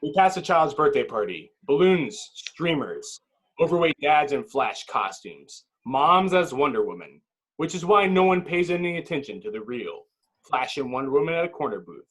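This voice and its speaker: Guy reading script action lines, husky voice, dramatic short phrases, table read narrator. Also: on the high side, very animated, moderate pace, a bit bright, rough recording. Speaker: narrator reading stage directions.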